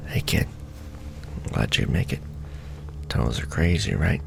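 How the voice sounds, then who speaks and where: A man playing a jaded, sardonic manager at a secret society in an ASMR roleplay, speaking in a dry, world-weary deadpan. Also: extremely low pitch, normal speed, creaky, heavy background noise, exaggerated voice. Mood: brisk, wry. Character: jaded, sardonic male Illuminati manager briefing a new recruit.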